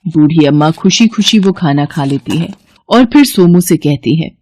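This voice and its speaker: A woman's voice: low voice, expressive, normal speed, darkish tone. A woman narrating a scripted children's bedtime story as the storyteller.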